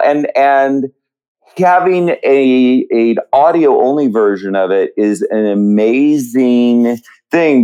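A man reflecting in an interview as a theatre writer, speaking haltingly and pausing often mid-thought. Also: medium pitch, a little mellow, low-quality recording. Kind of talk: reflective panel interview talk.

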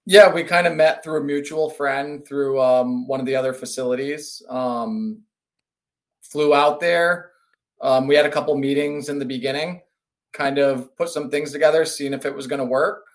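A man's voice: medium pitch, moderate pace. A middle-aged man from Connecticut answering casually as a guest in an interview. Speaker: podcast guest, business executive.

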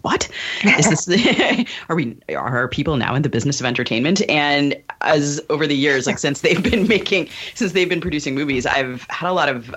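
Bright, low-voiced woman podcast host. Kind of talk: casual interview conversation.

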